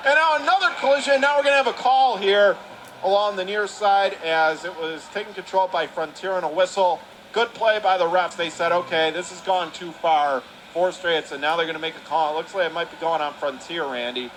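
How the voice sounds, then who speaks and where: Male sports announcer, high-pitched, clipped and excitable hockey calls, light arena noise in the background. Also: brisk, poor audio. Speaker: radio play-by-play announcer. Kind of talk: live ice hockey play-by-play commentary. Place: commentary position at an indoor ice rink.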